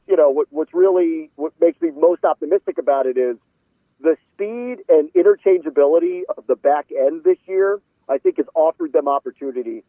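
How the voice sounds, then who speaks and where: Phone-like, higher-pitched man talking football. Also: dry recording. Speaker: sports analyst interviewed as a guest. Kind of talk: conversational football analysis. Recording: phone-like radio call audio.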